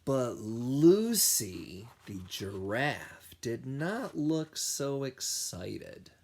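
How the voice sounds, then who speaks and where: A man reading a picture book aloud to young children as their music teacher, expressively and with dramatic pauses. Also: medium pitch, sing-song, gravelly, a little mellow.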